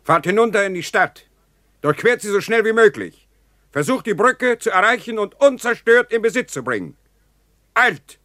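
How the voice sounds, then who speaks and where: A man playing a forceful American lieutenant colonel in a German 1960s radio drama, barking urgent commands. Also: sits high, animated, normal speed, no echo, studio quality. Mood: urgent, rousing.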